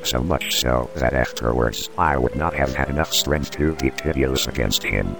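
An actor playing the disciple Judas, urgent and pleading, with a gravelly voice. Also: male voice, rumbling low, moderate pace. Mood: brooding. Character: agitated impassioned male disciple Judas. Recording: music score underneath.

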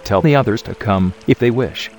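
A calm, authoritative spiritual teacher in a radio drama, measured and solemn, with a lowish voice, as a man plays him. Soft music plays behind. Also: natural voice.

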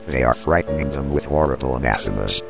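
An actor playing the disciple Judas, urgent and pleading, with a gravelly voice. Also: male voice, very deep voice, fast talker. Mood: alarmed. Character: agitated impassioned male disciple Judas. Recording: music score underneath.

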